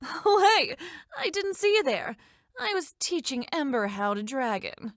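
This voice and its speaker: A cheeky young dragon-tending big sister, voiced by a woman, high and lively in pitch. She is brash and playful, rambling expressively in a close, dry recording with music behind. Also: a little slow, dry recording. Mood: casual. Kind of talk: animated fantasy fandub acting.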